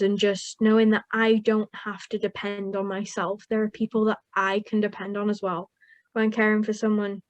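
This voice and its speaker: A woman's voice: medium pitch, dry recording. A young woman from Wales whose first language is Welsh, answering interview questions about caring for her mother in an earnest, upbeat way with frequent small laughs. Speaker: young carer guest.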